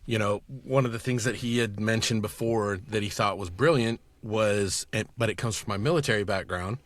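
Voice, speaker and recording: A man's voice: fairly low pitch, moderate pace. A man in a casual chat as a podcast guest, talking in an enthusiastic, rambling storytelling way. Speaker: podcast guest, audio producer and director.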